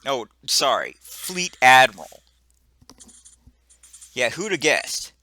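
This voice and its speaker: A man's voice: mid-range voice, big pitch swings, takes it slowly, sharp tone, no echo. A man playing a video game, making sarcastic remarks and reading the game text aloud, flubbing words now and then. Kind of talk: casual gameplay commentary and reading game text.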